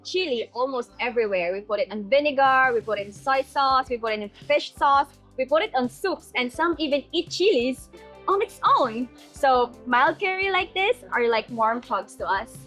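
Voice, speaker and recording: High-voiced Filipina co-host. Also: female voice, expressive, normal speed, fairly bright tone, soft background music. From Philippines.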